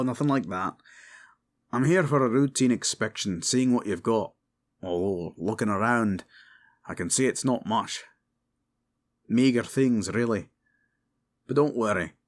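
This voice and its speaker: A man's voice: medium pitch, expressive, a little slow, pauses often, lightly acted. Man playing a blunt, commanding dwarf king. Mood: brisk, dismissive. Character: blunt, dour dwarf king, male, gruffly generous. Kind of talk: fantasy audio drama acting.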